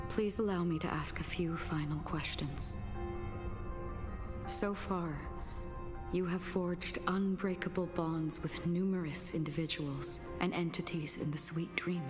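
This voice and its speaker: Calm solemn young female game character, low pitch, soft breathy delivery, measured formal phrasing, quiet conviction, music behind. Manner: measured, grave, formal, with deliberate pauses. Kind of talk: scripted video game story dialogue. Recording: long reverb, loud game music behind.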